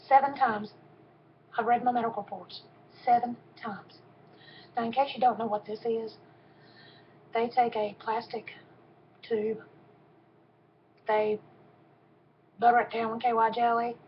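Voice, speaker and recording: A soft-voiced woman in her fifties, her tone sitting a little high for a woman. She gives a personal testimony slowly and haltingly, pausing often with ums. The recording is dull and lo-fi, with a faint hum behind her. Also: little pitch movement. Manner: halting, with frequent pauses and ums.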